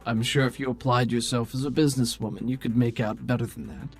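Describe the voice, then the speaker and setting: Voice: mid-range voice, steady tone, quick pace, faint music behind, close to an everyday voice. A game master playing a cool, businesslike female contact who speaks in a dry, formal, wry manner. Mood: cool, brisk.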